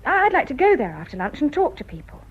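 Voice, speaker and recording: A young TV director in a radio drama, practical and soothing, with a fairly high, expressive voice. The recording is a little dull. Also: female voice, fast talker. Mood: resolute. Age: young adult. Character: brisk, sensible, diplomatic female television director.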